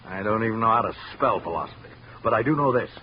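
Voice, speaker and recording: A low-voiced, tough middle-aged watchman with a past played by a man, defensive and plain-spoken, his pitch swinging widely. It sounds like a dull vintage radio recording. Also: expressive, fast, slight background noise, lightly acted. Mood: bitter, earnest. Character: defensive working-class ex-convict watchman, devoted father. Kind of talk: 1950 radio detective drama acting.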